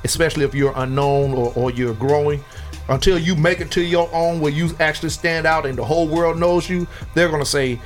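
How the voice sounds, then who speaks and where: A man hosting a hip-hop chat, animated and talkative, often asking "you know what I'm saying". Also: a little high, a little fast, faint music behind. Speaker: host. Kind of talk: casual interview chat.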